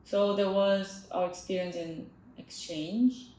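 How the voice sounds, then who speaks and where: A woman's voice: mid-range voice, fairly even intonation, slow, mellow, echoey room, poor audio. A young woman whose first language is Korean, co-hosting a casual podcast chat with many "like" fillers. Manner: chatty, frequent "like" fillers. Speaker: podcast co-host.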